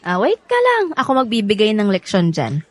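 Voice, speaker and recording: A woman's voice: mid-range voice, wildly swooping pitch, moderate pace, dry recording. A woman acting a bitter, overprotective older sister in a Filipino radio drama, blunt and scolding, with a theatrical delivery. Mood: grumbling, nosy.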